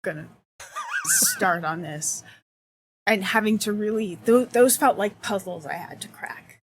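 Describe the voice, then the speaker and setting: A woman's voice: medium pitch, animated, a little slow, a little hiss or hum, low-quality recording. A woman talking as a guest in a casual interview, thoughtful and searching for her words. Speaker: accessibility consultant, guest.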